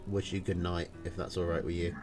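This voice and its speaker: A man's voice: low voice, level tone, brisk, a little mellow, soft background music. Tired nasal man interviewing. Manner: rambling, tired. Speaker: stream interviewer, caster. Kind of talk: casual post-match interview.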